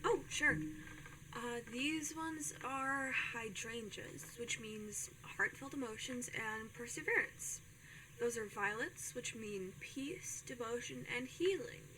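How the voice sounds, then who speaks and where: A woman playing a helpful, hesitant little sister in an audio drama, soft-spoken and tentative. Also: a little high, slow pace, rough recording. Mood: helpful, unsure. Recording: faint ambient noise behind.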